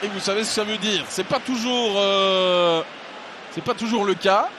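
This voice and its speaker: A man giving live play-by-play football commentary, calm in analysis and shouting at goals. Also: sits high, normal speed. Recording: loud stadium noise behind.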